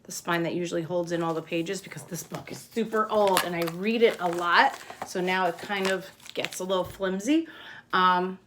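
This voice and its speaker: A woman's voice: on the low side, moderate pace, background noise. A woman kindergarten teacher giving a simple lesson and picture-book read-aloud, explaining step by step and checking with questions.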